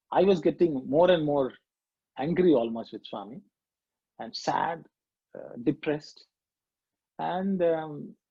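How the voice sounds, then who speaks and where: A middle-aged man with a Sri Lankan accent, recounting his past in a reflective, halting way as a guest speaker. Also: fairly high pitch, dark tone, slightly rough, no echo. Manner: reflective, halting with fillers and restarts. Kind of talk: personal testimony talk. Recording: muffled lo-fi dry audio.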